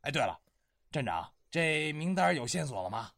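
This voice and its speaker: A man's voice: fairly high pitch, very animated, moderate pace, creaky, a bit bright, no echo, studio quality, heavily acted. An actor playing a folksy, ingratiating and nosy man in a radio drama, effusive and familiar in the way he talks. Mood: nosy, wheedling. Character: chatty, ingratiating, folksy male acquaintance, nosy.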